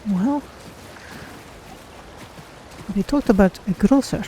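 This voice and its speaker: A woman's voice: mid-range voice, moderate pace, warm tone, a little hiss or hum, lightly acted, low-quality recording. A woman voicing a curious, naive cat-folk adventurer, musing aloud in careful, formal phrases as she plays a game. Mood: puzzled. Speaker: streamer voicing own game character. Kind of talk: in-character game playthrough narration.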